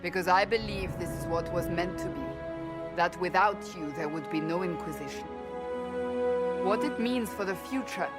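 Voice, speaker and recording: A woman's voice: on the low side, moderate pace, loud music behind the voice, close to an everyday voice. A woman playing a stern, devout military leader in a fantasy video game, speaking earnestly and formally with resolve. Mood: earnest conviction. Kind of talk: dramatic video game dialogue.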